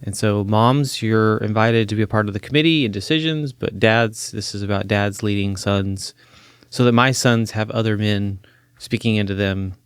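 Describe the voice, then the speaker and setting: A man in his forties who grew up in Chile, a counseling professor talking as a podcast guest, earnest and animated, laughing easily. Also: fairly low pitch, moderate pace, clean tone, dry recording, clean studio sound. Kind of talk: interview conversation. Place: podcast studio.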